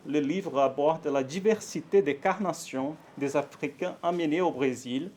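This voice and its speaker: A Brazilian man with a Portuguese accent giving a conference talk in French, halting and careful, with frequent fillers and self-corrections. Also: on the high side. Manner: nervous, warm, laughs lightly. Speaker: academic speaker at a conference.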